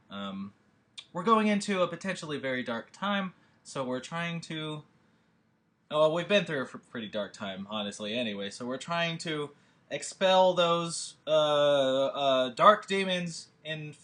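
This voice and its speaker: A man's voice: medium pitch, fairly bright tone. A man, a producer and director, hosting a table read in a casual, rambling chat full of fillers and restarts. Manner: hesitant, many fillers and restarts.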